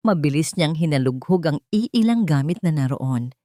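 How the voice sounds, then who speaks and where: Low-voiced woman narrating romantic drama. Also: expressive, moderate pace, dark tone, dry recording, studio quality. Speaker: story narrator.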